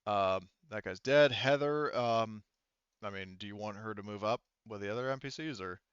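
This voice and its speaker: A man acting as game master, narrating a tabletop roleplaying game in a halting, thinking-aloud way. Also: on the low side, fairly bright tone, smooth, no echo, dull audio. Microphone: headset.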